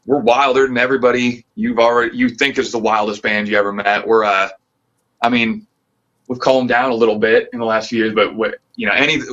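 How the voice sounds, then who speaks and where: A man's voice: lowish voice, fairly bright tone, muffled recording. A man from the Pacific Northwest, a band member, chatting as a guest in a loose, rambling, earnest way. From Pacific Northwest, near Tacoma. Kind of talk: casual interview chat.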